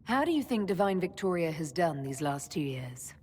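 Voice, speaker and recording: A woman playing a composed, diplomatic, gently wry leader in a fantasy video game, calm and direct in speech. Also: fairly low pitch, moderate pace, in a large hall, slight background noise.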